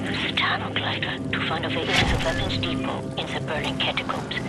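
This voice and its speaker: Male actor, solemn resistance conspirator, fairly high voice, dramatic deliberate briefing, game cutscene, loud music underneath. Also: fairly even intonation, close to an everyday voice. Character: solemn resistance ally grandly briefing a fighter.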